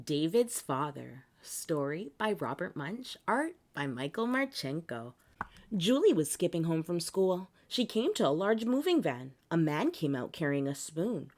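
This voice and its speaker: Animated woman reading a children's story. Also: low-pitched, unhurried. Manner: animated, theatrical delivery. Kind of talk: expressive picture-book read-aloud.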